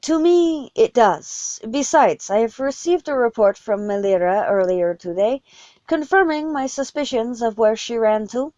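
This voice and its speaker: A woman voicing a commanding, deliberate male vampire leader in a fantasy story, with measured formal speech. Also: on the high side, expressive, mellow, no room sound, muffled recording, voice pushed up. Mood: grave, reluctant.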